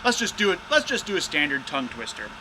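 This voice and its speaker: A man's voice: fairly high pitch, huge pitch swings, fast, background noise. A man hosting a casual, hands-on product test of drone gear as a reviewer.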